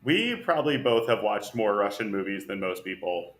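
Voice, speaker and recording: A man co-hosting a casual podcast, laid-back and quick to laugh. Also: fairly low pitch, sing-song, fairly bright tone. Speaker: podcast co-host.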